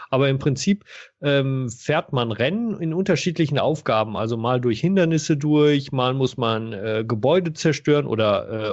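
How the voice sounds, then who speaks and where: A man in a casual podcast discussion of retro video games, talking in an informal, explanatory way. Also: medium pitch. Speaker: podcast panelist. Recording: dull, band-limited sound.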